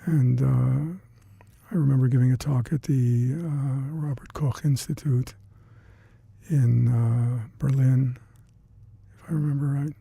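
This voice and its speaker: An older man with Hungarian as his first language, recalling past work in an oral history interview, halting and reflective with many fillers and restarts. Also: mid-range voice, fairly even intonation, vocal fry, dark tone, slightly rough, no echo. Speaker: interviewee, retired scientist.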